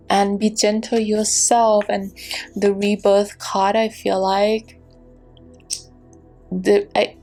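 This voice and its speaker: A woman giving a casual, rambling tarot reading to her viewers, full of fillers and restarts, with a gentle, reassuring manner. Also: mid-range voice. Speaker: tarot reader.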